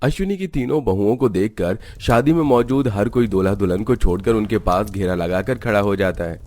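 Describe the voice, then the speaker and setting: A man's voice: on the low side, fairly quick, a little mellow. A man reading scripted narration for a family cartoon story.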